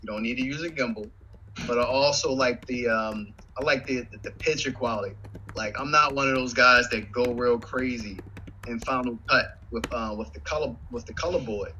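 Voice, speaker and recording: Bright-toned man, dull lo-fi sound. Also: mid-range voice, moderate pace, slight background noise. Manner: relaxed, hesitant with fillers. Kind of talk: casual chat.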